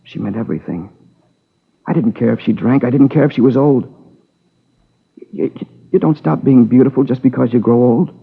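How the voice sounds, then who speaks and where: An actor playing a tormented man in his twenties, anguished, defensive and halting, in a 1950s radio crime drama. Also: mid-range voice, vocal fry, slightly rough. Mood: impassioned. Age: 20s. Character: tormented young man confessing to killing his lover. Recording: muffled old 1950s radio recording.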